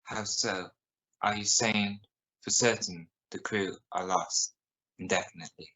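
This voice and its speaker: A man playing a grave television news interviewer in an amateur radio play, formal and measured. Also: fairly low pitch, fairly even intonation, pushed and bright, low-quality recording. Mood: grave questioning.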